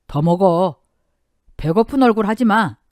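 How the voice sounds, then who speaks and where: A woman voicing a blunt, gruff older male restaurant owner who scolds loudly but kindly, in a dry recording. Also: mid-range voice, expressive, unhurried, no room sound, lightly acted.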